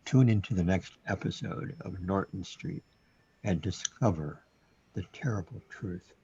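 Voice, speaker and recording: A man acting in an amateur radio serial as announcer and easygoing character, with a husky voice. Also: deep voice, measured pace, dark tone, full character voice. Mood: mock-dramatic. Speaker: announcer and actor. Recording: dull lo-fi recording.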